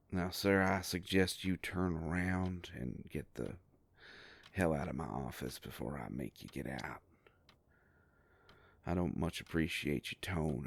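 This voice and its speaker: A man acts out a gruff werewolf private eye in an audio drama. His voice is extremely low and full of gravel, and he takes his time with every word. He comes across as a protective, sardonic boss, menacing in a folksy tough-guy way. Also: heavily acted. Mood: curt, confrontational, then cold warning. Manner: slow, drawling, threatening, folksy tough-guy talk. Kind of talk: dramatic roleplay audio monologue.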